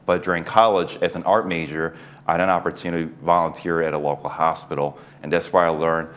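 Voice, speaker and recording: Man, forties, very deep voice, measured, explanatory, interview guest. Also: fairly even intonation, a bit bright. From United States East Coast. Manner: measured and explanatory, light chuckles. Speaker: neurosurgeon guest. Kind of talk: casual interview talk.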